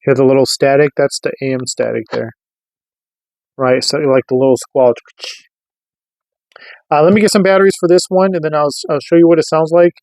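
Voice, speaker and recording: A man's voice: on the high side, steady tone, fairly quick, long pauses, a little mellow, dry recording. A man giving a casual, hands-on gear review, explaining informally and often checking in with the listener. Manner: informal, loosely explanatory, rhetorical check-ins. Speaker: host of a radio gear review channel.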